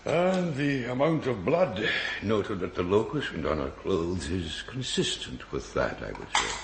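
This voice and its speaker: An actor playing a dry, pedantic forensic pathologist in a radio drama, speaking in a precise, deliberate, expository way. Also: male voice, lowish voice, very animated, vocal fry, exaggerated voice. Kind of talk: naturalistic radio drama acting. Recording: roomy echo, faint background noise.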